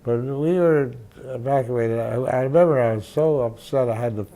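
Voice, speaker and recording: An older man, a veteran journalist, recounting memories in an interview, halting and restarting often. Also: medium pitch, dark tone. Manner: halting, many restarts and fillers. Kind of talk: reminiscing interview.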